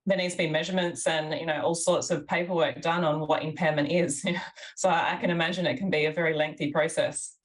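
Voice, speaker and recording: A woman with a slightly croaky voice, hosting an interview and responding with enthusiastic agreement. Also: low voice, steady tone, a little fast, far from the mic, poor audio. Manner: enthusiastic, affirming, lots of "yeah" and "mm". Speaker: podcast host. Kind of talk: interview conversation.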